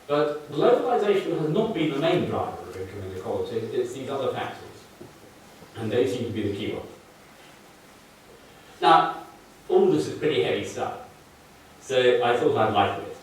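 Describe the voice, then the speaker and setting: A man's voice: mid-range voice, sing-song, normal speed, long pauses, slight background noise. An older man with a Mancunian accent giving a public lecture, explaining charts with dry, wry asides. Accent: northern English, Mancunian. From Manchester, England. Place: large lecture hall. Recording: very echoey hall, voice from far off.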